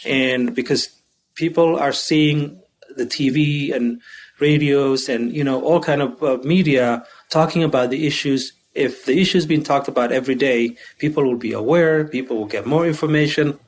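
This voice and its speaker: A man who directs refugee services, answering interview questions in a halting way with many fillers and restarts. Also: mid-range voice.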